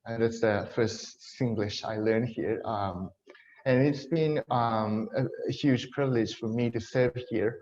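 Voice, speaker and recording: A man's voice: mid-range voice, unhurried, a little mellow, muffled recording. A man from Japan giving a friendly, joking talk to a church gathering, with a Japanese accent mixed with American and British tones and frequent fillers. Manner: friendly, with many fillers and self-deprecating jokes. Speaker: speaker at a church event.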